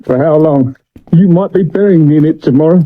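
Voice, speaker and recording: A man's voice: fairly high pitch, fairly quick, natural voice. A man acting a nervous, loyal Victorian theatre dresser in a 1970s radio drama, anxious and pleading, with an English accent. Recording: dull lo-fi vintage radio recording.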